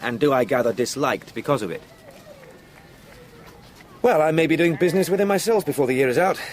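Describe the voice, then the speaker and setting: Quick-talking, inquisitive young English solicitor, played by a man. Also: on the high side, lively intonation, slight background noise, lightly acted. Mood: probing. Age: young adult. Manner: formal, articulate, questioning. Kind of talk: radio drama acting.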